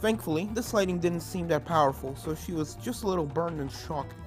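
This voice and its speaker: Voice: medium pitch, expressive, a little fast. Dramatic story narrator over game music. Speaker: narrator, fan fiction reader. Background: video game music.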